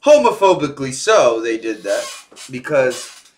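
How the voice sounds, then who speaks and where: A man's voice: mid-range voice, very animated, a little slow, a little hiss or hum. A young man streaming from home, casually talking over a TV show he reacts to, loose and slangy. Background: TV show playing with music.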